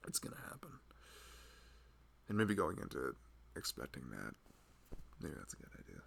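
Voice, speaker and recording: A man's voice: rumbling low, big pitch swings, normal speed, creaky, heavily acted. A man playing a loving, easygoing husband and nervous expectant father in an intimate ASMR roleplay, rambling casually and hesitantly. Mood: thoughtful. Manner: rambling, self-amused, hesitant, often chuckling.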